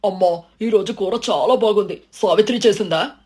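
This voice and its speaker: A woman's voice: mid-range voice, normal speed, pitched below the performer's own voice, natural voice. Woman acting as mild young husband and son, protective, protesting, family story, music underneath. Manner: gently protesting. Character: mild henpecked adult son, protective of his wife.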